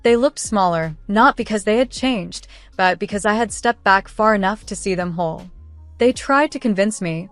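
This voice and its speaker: A woman narrates a scripted story in the first person. Her delivery is earnest and measured, with a literary storytelling manner and a middle-range pitch. The sound is close and dry, with soft music behind her. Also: no echo.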